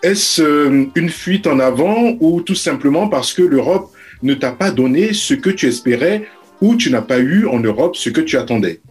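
A man with a husky, slightly rough voice hosts a radio interview. He asks his questions in a formal, measured way, with soft music playing faintly behind him. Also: on the high side, lively intonation. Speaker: radio host.

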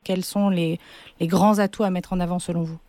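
Mellow female radio interviewer, dry sound. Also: on the low side, steady tone, a little fast, no room sound. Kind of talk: news interview questions.

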